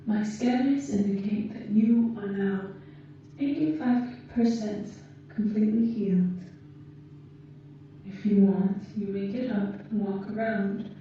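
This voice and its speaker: A woman voicing a gentle, caring alien rescuer in an ASMR roleplay, speaking formally and precisely with deliberate pauses. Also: medium pitch, fairly even intonation, lightly acted. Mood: reassuring. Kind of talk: ASMR roleplay, slow soothing delivery. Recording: muffled, echoey, distant, faint noise.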